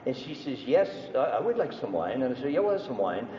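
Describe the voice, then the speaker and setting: A man's voice: mid-range voice, big pitch swings, a little fast, a little hiss or hum. A man with a Canadian accent giving a playful live business talk, teasing the audience. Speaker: business speaker. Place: large hall at an expo. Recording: echoey hall, distant mic, dull sound.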